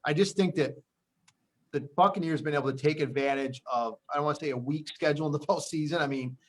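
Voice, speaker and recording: A man's voice: on the high side, fast talker. A man hosting a sports preview show, giving his commentary in a conversational way. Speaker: sports show host and pundit.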